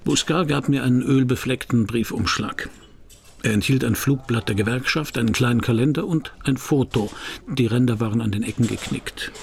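An actor playing a wry, probing private detective who narrates and questions in a German radio crime drama, dry and laconic, with a gravelly voice. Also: male voice, medium pitch, moderate pace, a little hiss or hum, close to an everyday voice. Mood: narrating, wry. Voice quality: gravelly, creaky.

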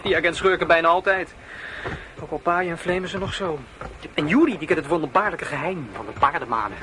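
A tender young horseman in a radio drama, played by a man, speaks softly and intimately in a fairly high male voice. Clear background noise surrounds him. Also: a little fast, close to an everyday voice. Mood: proud. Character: young male horseman, tender, courting, mind-reading traveller. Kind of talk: Dutch radio drama acting.

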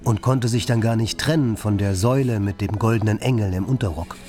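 A man's voice: on the low side, a little fast, mellow, faint music behind, lightly acted. A man acting as an ironic, dryly satirical narrator in a literary radio play, speaking in an articulate, pointed and theatrical way. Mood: dry irony.

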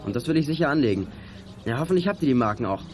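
A man's voice: medium pitch, lively intonation, racing, a little mellow, a little hiss or hum, lightly acted. A man playing a clever, eager teenage boy detective in a 1980s youth radio play, speaking in a matter-of-fact way. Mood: confident. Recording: music under studio radio play dialogue. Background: music.

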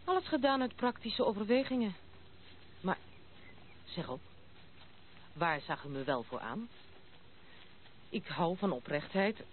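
A woman's voice: mid-range voice, animated, measured pace, long pauses, close to an everyday voice. An actress playing a proud, outspoken young noblewoman in a Dutch radio play, with a brusque, mocking manner. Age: 20s. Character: blunt, proud, unconventional young noblewoman of twenty-six. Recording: tinny band-limited old radio play recording, noisy.

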